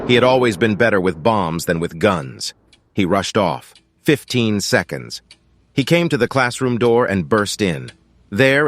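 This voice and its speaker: A man's voice: on the low side, fairly bright tone, dry recording. A man reading scripted fiction as an audiobook narrator.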